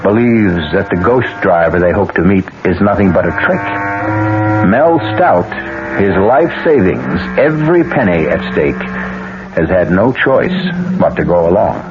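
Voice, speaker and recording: A man narrating a suspense radio drama as host in a deliberate, dramatic 1970s style. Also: low-pitched, expressive. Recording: muffled old radio broadcast. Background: music.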